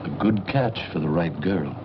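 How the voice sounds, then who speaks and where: An actor playing a courteous, cryptic older seer in a 1940s noir film, speaking in a formal, measured, enigmatic way. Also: male voice, deep voice, expressive, background noise. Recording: dull old film soundtrack with music behind.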